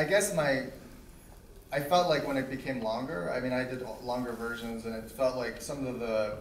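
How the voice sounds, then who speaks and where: Hesitant man answering in an echoey hall. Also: medium pitch. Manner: halting, many restarts and fillers. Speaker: film director. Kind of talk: festival Q&A answers. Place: theater. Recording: echoey hall, distant mic, faint noise.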